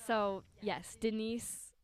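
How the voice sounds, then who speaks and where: A woman's voice: mid-range voice, fairly even intonation, drawn-out words, smooth. A woman hosting a casual interview at a friend's house, bubbly and laughing often as she talks. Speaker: podcast host.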